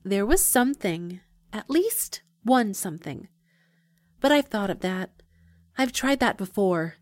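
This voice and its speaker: A woman's voice: medium pitch, sing-song, unhurried, dry recording. An actress playing a careful, watchful woman conspirator in a naturalistic audio drama. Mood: measured.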